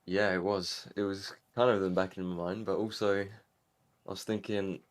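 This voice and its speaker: A man's voice: low voice, normal speed. A young man from Angola, interviewed after winning a sim race, talking casually with lots of fillers. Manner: relaxed, rambling with fillers. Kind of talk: casual post-race interview over voice chat.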